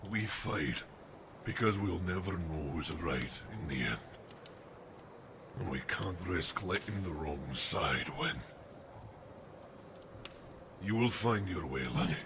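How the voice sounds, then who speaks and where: A man playing a haunted, fatherly older army captain in a dramatic radio play, speaking gravely and deliberately over noisy ambience. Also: sits low, lively intonation, full character voice. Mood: haunted, weary. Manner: grave, deliberate storytelling, calls listener "laddie". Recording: noisy amateur audio drama with outdoor ambience.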